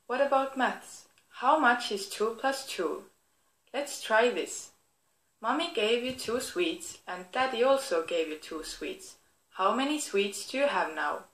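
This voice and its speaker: A woman's voice: on the high side, animated, unhurried, a bit bright, echoey room, poor audio. A woman voicing a friendly, clever fairy tale teddy bear toy, presenting itself to children in an encouraging, instructive way. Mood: encouraging, playful. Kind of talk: scripted product demonstration for children.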